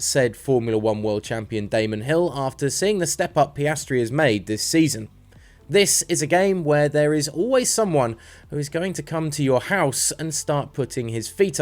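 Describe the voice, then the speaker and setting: A man presenting motorsport news as a scripted narration. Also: mid-range voice.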